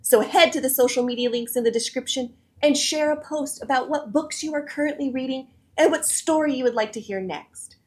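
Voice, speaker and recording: A woman hosting a children's read-aloud show, addressing young viewers in a friendly, animated, encouraging way. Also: high-pitched, fairly quick, hardly any pauses. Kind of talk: scripted presenting to children.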